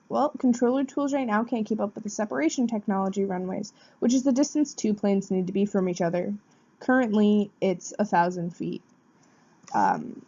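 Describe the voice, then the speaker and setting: Mellow woman presenting, muffled recording. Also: medium pitch. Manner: explanatory, poses questions then answers them. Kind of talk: prepared informative presentation. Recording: dull, muffled lo-fi sound.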